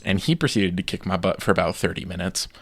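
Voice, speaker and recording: Low-voiced man telling animated gaming stories. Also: fast talker, no echo. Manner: animated storyteller who acts out his own reactions. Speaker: podcast co-host. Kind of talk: casual gaming podcast chat.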